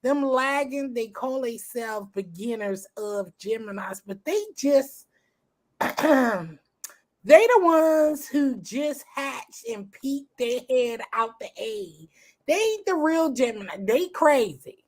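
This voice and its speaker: A woman with a slightly hoarse voice, chatting casually and calling everyone girl. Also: high-pitched, takes it slowly. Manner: drawling, calls everyone girl, lively laughs. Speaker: guest in a live chat. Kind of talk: casual gossipy chat.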